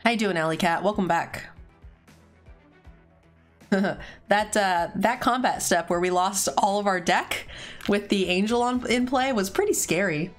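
A woman streaming herself playing a card game, her voice slightly raspy from losing it. She chats loosely with viewers and answers their questions between moves, over faint music underneath. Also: mid-range voice, measured pace, pauses often. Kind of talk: casual live-stream chat while playing a card game.

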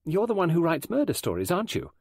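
A man's voice: on the high side, wildly swooping pitch, quick pace, a little mellow, no room sound, studio quality, pitched above the performer's own voice, exaggerated voice. A man voicing a boastful, nosy thirteen-year-old girl in a storybook, full of pushy questions. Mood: pushy, curious.

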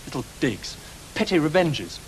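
An imperious, accusing Victorian detective. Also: male voice, fairly high pitch, big pitch swings, normal speed, a little hiss or hum, poor audio. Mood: scornful, vengeful. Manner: theatrical, prosecutorial, biting. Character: accusing, wounded, imperious fictional detective confronting his author. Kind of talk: radio drama acting.

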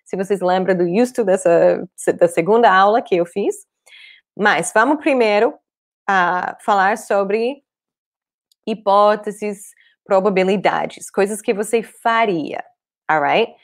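Woman teaching a language class online, english speaker accent in portuguese, talks slow and explains carefully. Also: medium pitch. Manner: clear, deliberate explaining with repeated examples. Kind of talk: live online language lesson. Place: small room indoors, at a desk.

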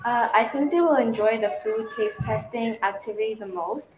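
A woman's voice: a little high, normal speed. Girl student, hesitant, echoey classroom, faint background noise. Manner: short, hesitant answers. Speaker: student guest.

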